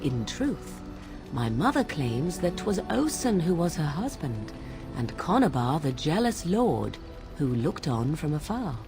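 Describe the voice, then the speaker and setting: An aloof young witch of the wilds in a fantasy game. Her voice sits fairly low and moves with a very expressive, varied melody. She speaks like a theatrical storyteller, in measured, archaic and formal phrases, with music clearly playing behind her. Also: full character voice. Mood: knowing, grave.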